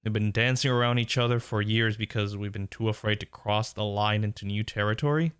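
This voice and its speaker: A man's voice: lowish voice, fairly even intonation, fairly quick, clean tone, no room sound, clean studio sound, close to an everyday voice. A man playing a smitten, teasing workplace rival turned lover in an intimate romantic audio roleplay, murmuring tenderly and breathily. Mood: earnest, incredulous.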